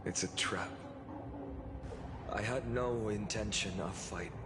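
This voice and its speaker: A man playing a grave, calm wandering swordsman in a video game, with measured, formal phrasing over music. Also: lowish voice, lightly acted. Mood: wary, resolute. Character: calm, solemn wandering swordsman burdened by foreknowledge. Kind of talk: dramatic video game voice acting. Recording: background music under the voice.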